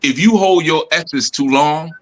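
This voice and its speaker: A man's voice: fairly high pitch, unhurried, fairly bright tone. A man leading a group talk, teaching loosely with laughs and lots of "you know" and "man". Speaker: spiritual group leader.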